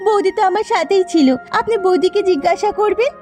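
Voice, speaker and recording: A young cartoon woman voiced by an actress, very high and mellow in tone. She is earnest and pleading, a blamed sister-in-law. Faint music sits behind her. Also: quick pace. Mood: pleading, defensive. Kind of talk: cartoon voice acting.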